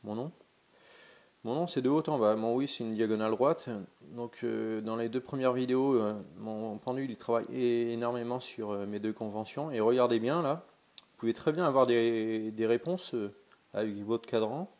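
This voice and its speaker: A man's voice: medium pitch, normal speed, a little mellow. A man with a cold, casually demonstrating something in a vlog and talking in a hesitant, chatty way with many fillers and restarts. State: has a cold. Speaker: pendulum dowsing vlogger.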